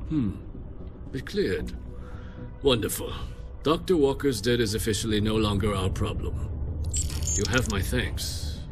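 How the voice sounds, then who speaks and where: A man plays a haughty trade company clerk in a sci-fi game. His voice sits low, with formal, unhurried, sardonic phrasing over clearly audible music. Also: lightly acted. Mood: dry, smug. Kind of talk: video game voice acting.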